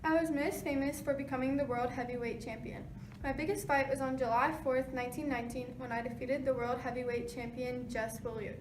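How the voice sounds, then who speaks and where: Woman as high-voiced 1920s boxing champion. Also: natural voice. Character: famous 1920s male boxing champion being interviewed. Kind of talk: rehearsed scripted interview answers. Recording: noisy, echoey room, distant mic.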